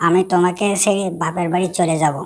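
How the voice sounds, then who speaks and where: A woman's voice: lowish voice, steady tone, fast talker, close to an everyday voice. A woman voicing a nagging, scheming tigress wife in a cartoon. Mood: scolding. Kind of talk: Bengali cartoon voice acting.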